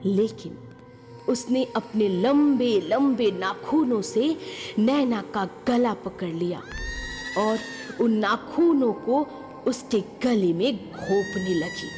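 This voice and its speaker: A woman tells a scripted horror tale as a dramatic narrator. Her voice sits fairly high and moves slowly, with music playing clearly behind it. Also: huge pitch swings, dark tone. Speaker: story narrator. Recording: long reverb, music behind.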